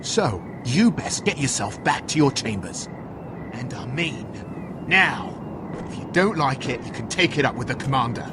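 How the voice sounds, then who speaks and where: A man's voice: mid-range voice, sing-song, normal speed, background noise. An actor playing a menacing male palace guard in a dramatic video game, condescending and threatening.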